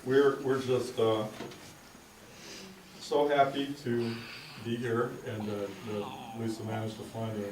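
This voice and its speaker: A man giving a wedding toast, telling stories in a chatty, halting way with many fillers. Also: medium pitch. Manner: anecdotal, lively, with halting fillers. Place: large reception hall. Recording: roomy, distant, noisy home video.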